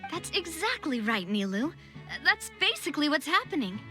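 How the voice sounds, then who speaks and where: A confident, friendly young female leader in a video game. The voice is high and very animated, with a crisp bright edge. Her manner is breezy and self-assured. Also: moderate pace, soft background music, heavily acted. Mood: serious, explaining.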